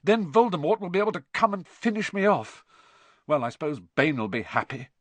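A man's voice: a little high, big pitch swings, a little slow, fairly bright tone, dry recording, voice pushed up, full character voice. A man voicing an earnest, agitated young boy in an audiobook, with an urgent, feverish manner. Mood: feverish, bitter. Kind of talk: audiobook character dialogue.

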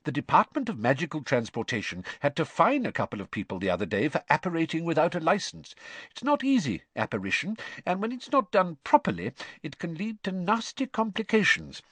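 A man voicing a kindly, excitable middle-aged British wizard father who explains things fussily in an audiobook. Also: on the high side, fairly quick, slightly rough, no echo, voice pushed up, heavily acted. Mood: chatty, informative. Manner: eager, chatty, matter-of-fact explaining.

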